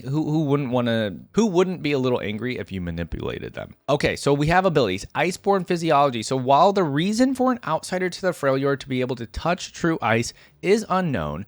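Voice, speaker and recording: A man's voice: mid-range voice, dry recording. A man hosting a reaction video, reading aloud and adding casual, conversational asides as he muses.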